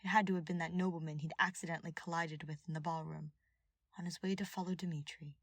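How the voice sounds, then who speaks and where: A woman reading scripted fiction aloud as an expressive audiobook narrator. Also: low voice, quick pace, no echo.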